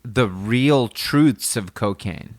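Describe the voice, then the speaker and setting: A man's voice: mid-range voice, slow pace, no room sound. A man with a nasal voice co-hosting a podcast, chatting casually in a joking, sweary manner. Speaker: podcast host. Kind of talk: casual banter.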